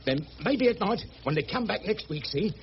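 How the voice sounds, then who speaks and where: An actor, rambling along in folksy, colloquial speech. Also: male voice, high-pitched, big pitch swings, normal speed. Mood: earnest, building excitement. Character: rough, good-hearted working-class boatman, chatty and affectionate. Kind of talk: radio drama acting. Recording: muffled radio drama recording with background noise.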